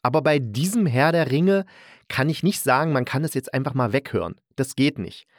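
A man's voice: medium pitch, expressive, moderate pace, dry recording, studio quality. A man giving a casual, colloquial spoken review as a podcast host, enthusiastic and fond of emphatic repetition.

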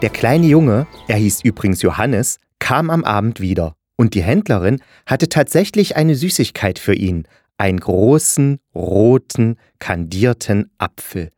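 A man's voice: on the low side, lively intonation, a little slow, darkish tone, no echo, soft background music. Man narrating a children's fairy tale. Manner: patient, explanatory.

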